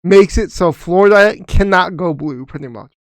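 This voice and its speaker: A young man co-hosting a casual podcast, talking earnestly and halting with restarts. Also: high-pitched, animated, no room sound, clean studio sound. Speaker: podcast co-host. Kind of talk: casual chat.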